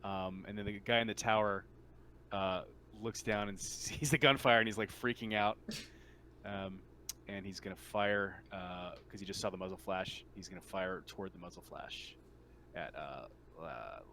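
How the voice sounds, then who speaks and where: Male game master, lowish forward voice, casual halting narration, light noise behind. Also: bright, forceful tone. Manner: informal, halting, thinking aloud.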